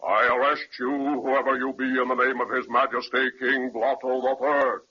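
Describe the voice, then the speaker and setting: An actor playing a stern, officious captain of paper soldiers in a 1930s radio serial, barking orders. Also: male voice, mid-range voice, little pitch movement, normal speed, lightly acted. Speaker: captain of the guards. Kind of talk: 1930s radio drama acting. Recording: dull, lo-fi old radio recording with faint hiss.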